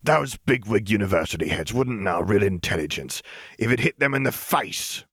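A man's voice: fairly low pitch, lively intonation, normal speed, a bit bright, breathy edge, no echo, studio quality, full character voice. A man voicing a blustering, pompous middle-aged uncle in an indignant, grumbling rant for a story reading.

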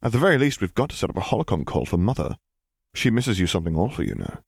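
A man's voice: lowish voice, sing-song, quick pace, gravelly, breathy edge, no echo, studio quality, exaggerated voice. A man voicing an earnest, sheepish young male student who rambles chattily and self-deprecatingly, in an audiobook story. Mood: tentative, hopeful.

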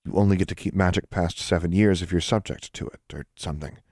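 A man's voice: low-pitched, fast, darkish tone, no room sound, studio quality. Man reading as an earnest young witch.

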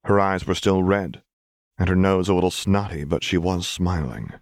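A man narrating a scripted story as an audiobook reader, in a measured, deliberate manner. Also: deep voice, no room sound, studio quality.

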